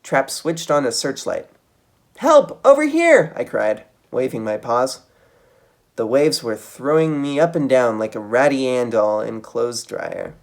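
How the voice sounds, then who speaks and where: A man reading a children's book aloud as a storytime reader, with dramatic, expressive delivery. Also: medium pitch, unhurried, creaky.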